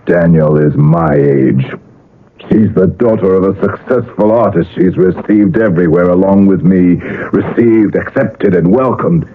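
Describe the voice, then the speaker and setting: A man's voice: sits low, a little slow, narrow, tinny audio. An actor playing a possessive, agitated middle-aged father, emphatic and dramatic, in a 1970s radio drama. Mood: anguished, vehement. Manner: emphatic, dramatic, repeats phrases for weight. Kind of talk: 1970s radio drama acting and first-person narration. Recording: noisy, band-limited old radio recording.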